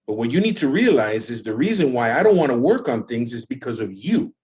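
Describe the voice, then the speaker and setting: A man's voice: medium pitch, sing-song, a little fast, darkish tone, breathy edge. A man acting in an audio drama as a hurt, exasperated young father rebuffing his ex. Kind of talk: naturalistic scripted audio drama.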